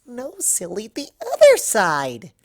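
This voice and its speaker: A narrator voicing a hyper, bubbly young female cartoon pony who gushes excitedly and teases. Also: medium pitch, vocal fry, pitched above the performer's own voice, exaggerated voice. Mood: insistent. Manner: excitable, gushing, drawn-out teasing words.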